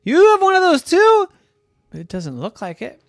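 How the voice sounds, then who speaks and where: A storyteller voicing an excitable, boastful young male pig with a high, whiny, squealing voice. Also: moderate pace, dry recording, studio quality. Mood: curious.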